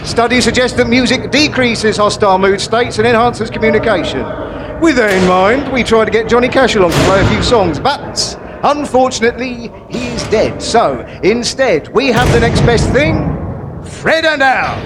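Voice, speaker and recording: A man's voice: extremely high pitch, animated, moderate pace. A man playing a pompous, contemptuous prison governor in a live stage comedy. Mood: shouting, outraged. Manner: pompous, officious speechmaking. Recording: very noisy live stage recording.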